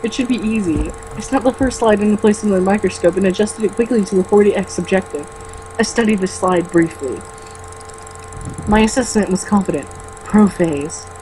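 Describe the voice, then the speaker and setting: A woman's voice: medium pitch, brisk, noisy background. A woman reading a book aloud as a co-host, mocking it with sarcastic, exasperated comments.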